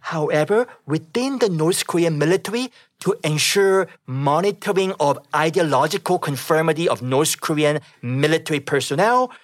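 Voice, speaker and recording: Formal male interpreter, slightly high. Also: lively intonation, moderate pace, hardly any pauses, no echo, studio quality. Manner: formal, careful phrasing. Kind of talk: consecutive interpretation.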